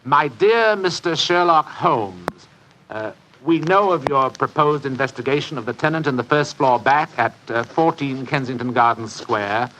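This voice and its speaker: An actor playing a shrewd, languid gentleman in high office, deliberate and pompous, in a radio drama. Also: slight background noise, natural voice, poor audio. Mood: sly, dictating. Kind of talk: old radio drama acting.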